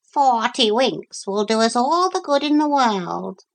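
Woman putting on a high, slow, gentle parrot. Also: lively intonation. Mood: mild. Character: modest, kindly male parrot.

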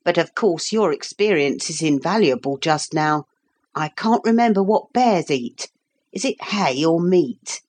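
A woman voicing a polite, modest young male official in a storybook, talking briskly and deferentially. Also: deep voice, expressive, a little mellow, breathy edge, full character voice. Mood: hurried. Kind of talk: audiobook character voice.

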